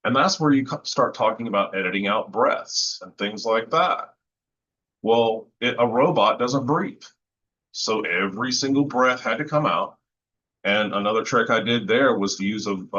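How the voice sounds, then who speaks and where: A man with a low voice talking casually about recording vocals, thoughtful and rambling with lots of fillers and restarts. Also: moderate pace, sharp tone, dull audio. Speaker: musician discussing vocal recording. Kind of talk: casual podcast chat.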